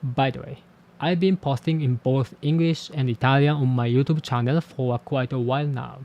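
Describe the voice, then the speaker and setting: A man's voice: mid-range voice, fairly even intonation, a little mellow, highs cut off. Candid man. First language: Japanese. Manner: candid, self-deprecating, measured. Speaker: language teacher and channel host. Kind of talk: reflective talk to camera about learning languages.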